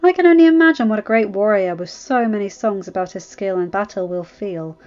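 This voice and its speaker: A woman voicing a witty nobleman with heavy sarcasm and drawn-out words. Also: medium pitch, lively intonation, darkish tone, dull audio, faint music behind, natural voice. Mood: mocking.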